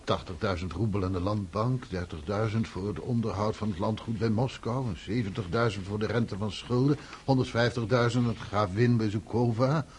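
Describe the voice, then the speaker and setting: An actor playing a cautious, long-serving estate steward in a radio drama, formal and obsequious. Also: male voice, fairly low pitch, moderate pace, few pauses, a little mellow, a little hiss or hum, lightly acted. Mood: deferential, worried.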